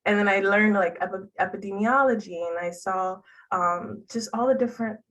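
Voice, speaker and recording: A woman's voice: medium pitch, normal speed, a bit bright, recorded from a distance, rough recording. A young woman from Haiti, answering interview questions in a chatty way with laughs between phrases. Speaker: interview guest, public health worker.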